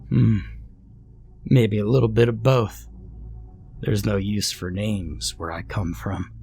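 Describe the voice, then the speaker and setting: Man putting on a deep flat ancient god-devil. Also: slow pace, gravelly, faint music behind. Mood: amused. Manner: turns maniacal and wicked. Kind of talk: storytelling with acted dialogue.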